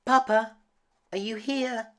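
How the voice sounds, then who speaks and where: A kind and brave young woman from a fairy-tale storybook, voiced by a man. Her voice sits well up high, and she speaks earnestly, with a pleading edge. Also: fairly even intonation, slow, a bit bright, heavily acted. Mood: anxious.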